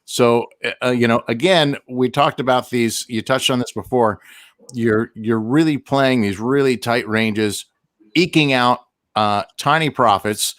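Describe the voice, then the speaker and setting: A man co-hosting a casual interview, halting with many fillers and a joking manner. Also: mid-range voice, a bit bright, dry recording, clean studio sound. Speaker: co-host and interviewer.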